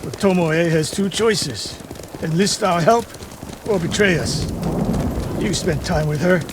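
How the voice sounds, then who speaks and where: An older samurai archery master for a video game, stern and distrustful. The voice sits on the higher side and is curt and commanding. Noisy outdoor ambience runs clearly behind it. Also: male voice, measured pace. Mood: stern, bitter. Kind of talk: dramatic video game acting.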